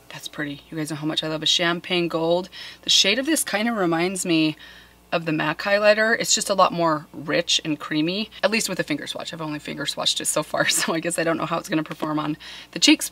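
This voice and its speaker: Low-voiced woman with a cold. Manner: chatty, enthusiastic, drops to soft murmurs when reacting. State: has a cold, voice deeper than usual. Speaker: beauty vlogger. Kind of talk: casual makeup review to camera. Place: small indoor filming set with plants.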